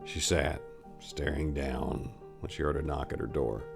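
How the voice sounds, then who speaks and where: A man with a very deep voice and a heavy gravelly creak narrates a story. His reading is theatrical and brooding, with soft music underneath. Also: fairly even intonation, measured pace. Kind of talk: dramatic scripted story narration.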